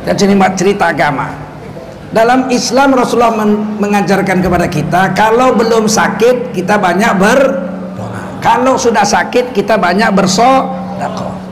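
Sarcastic high-voiced preacher. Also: male voice, moderate pace, noisy background. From North Sumatra, Indonesia. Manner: sarcastic, rhetorical questions to the audience. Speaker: Islamic preacher. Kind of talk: religious lecture with political commentary.

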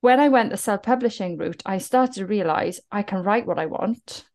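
A woman's voice: medium pitch, normal speed, a little mellow. A woman from South Wales with a Welsh accent, a guest telling her story in an earnest, reflective way. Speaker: author and guest. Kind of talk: casual podcast interview.

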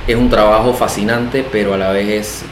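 A middle-aged man with a Panamanian accent, talking casually as an interview guest, animated and self-deprecating, repeating phrases for emphasis. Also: on the low side, normal speed. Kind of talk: casual interview conversation, storytelling. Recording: echoey room, faint background noise.

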